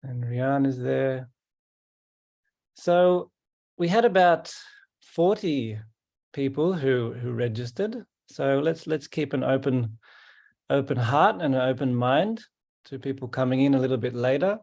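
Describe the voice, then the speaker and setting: Calm man hosting a video call. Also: mid-range voice, measured pace, vocal fry. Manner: calm, repeats words while welcoming. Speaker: host. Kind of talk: online meeting welcome.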